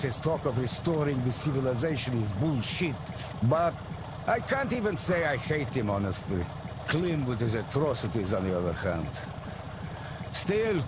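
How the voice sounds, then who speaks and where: A man's voice: medium pitch, background noise. An actor playing a weary, fatherly older submarine captain in a video game, speaking frankly and earnestly. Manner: frank, earnest, plain-spoken. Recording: loud music behind the voice.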